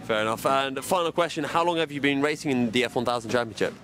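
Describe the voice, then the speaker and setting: A man's voice: mid-range voice, fast talker, background noise. A young man presenting and interviewing on a handheld mic, outdoors in a racing paddock. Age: young adult. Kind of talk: roving paddock commentary and interviews. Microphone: handheld microphone.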